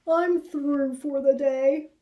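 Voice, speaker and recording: A woman voicing a huge, timid but polite young male rabbit in a storybook, with emphatic, drawn-out words. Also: high voice, fairly even intonation, warm tone, voice pushed up, poor audio. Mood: weary.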